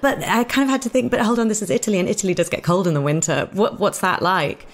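A woman's voice: mid-range voice, animated, fast talker. A woman talking casually and reflectively in a conversational discussion. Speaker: audio drama director.

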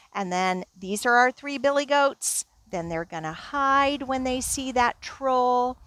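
A woman in middle age speaks to young children as a storytime leader. Her delivery is lively and unhurried, with simple, deliberate phrasing and plenty of expression. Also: fairly high pitch. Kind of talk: children's storytime reading and instruction.

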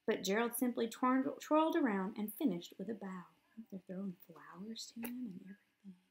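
A woman in her thirties reading a picture book aloud at home to young children in an expressive, sing-song way. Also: mid-range voice, fairly quick. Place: small living room at home, indoors.

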